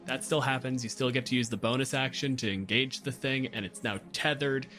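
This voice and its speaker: A man acts as game master on a podcast, talking at a middle pitch. He is casual and swears freely as he narrates the game and rulings, with some music behind him. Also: fairly even intonation, brisk, sharp tone. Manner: profane, casual.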